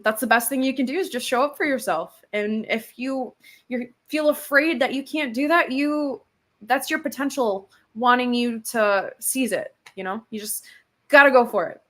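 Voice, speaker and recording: A woman's voice: fairly high pitch, a bit bright. A woman who works as a ring announcer, talking as a co-host in an upbeat, self-assured, motivational way. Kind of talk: casual livestream chat.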